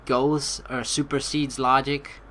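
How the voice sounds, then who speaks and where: A young woman doing let's play commentary, reading game text aloud in an animated, playful, blunt and casual way. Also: very deep voice, takes it slowly, slight background noise.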